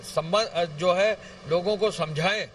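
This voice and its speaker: A male official giving a formal, somewhat halting statement, recorded from a distance outdoors with some traffic noise. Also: high-pitched.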